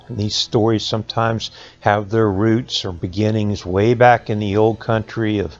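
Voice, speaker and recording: A man's voice: on the low side, gravelly, a little mellow. Folksy Southern Appalachian man, slightly dull recording. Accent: Southern Appalachian American. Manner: folksy, conversational, with dramatic pauses. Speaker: school resource officer reading folk tales to students. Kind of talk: folksy storytelling for children.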